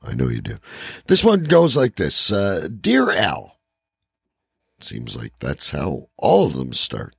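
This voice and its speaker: A man's voice: rumbling low, huge pitch swings, takes it slowly, vocal fry, slightly rough, dry recording, studio quality. A man co-hosting a podcast in casual banter and letter reading, with a blunt, profane way of talking. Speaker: podcast co-host.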